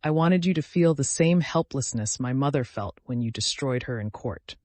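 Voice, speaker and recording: A man's voice: medium pitch, animated, moderate pace, darkish tone, no echo, lightly acted. A man voicing a vengeful, calculating woman, dry sound. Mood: controlled threat. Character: vengeful calculating woman, grieving and bitterly controlled. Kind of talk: dramatic audiobook character reading.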